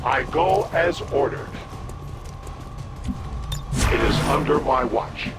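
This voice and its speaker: An actor playing a proud, stern warrior in a sci-fi video game, speaking formally and declaiming. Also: male voice, medium pitch, takes it slowly, close to an everyday voice. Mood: proud, resolute. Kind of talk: dramatic game dialogue. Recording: loud music behind game dialogue.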